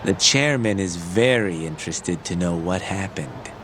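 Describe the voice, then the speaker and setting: An actor playing a sly, knowing journalist informant in a video game, sardonic under surface politeness. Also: male voice, sits low, lively intonation, a little slow, background noise. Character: sly, smooth-talking male journalist informant, casually knowing. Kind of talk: video game cutscene dialogue. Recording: music behind the voice.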